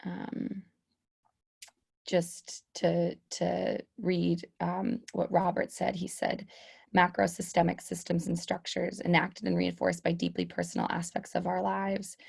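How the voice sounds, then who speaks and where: A young woman moderating an online discussion over a laptop video call, pausing often with fillers as she reads out questions. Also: lowish voice, little pitch movement, vocal fry, darkish tone, husky. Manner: hesitant, many fillers. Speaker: webinar moderator. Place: room with sloped ceiling, indoors. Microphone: laptop webcam video call.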